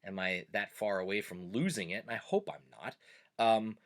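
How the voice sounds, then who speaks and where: A man's voice: lowish voice, expressive, normal speed. A man from Pennsylvania Dutch country hosts a casual podcast monologue in a chatty way, with frequent "uh" fillers. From Pennsylvania Dutch country, USA. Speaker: host and teacher.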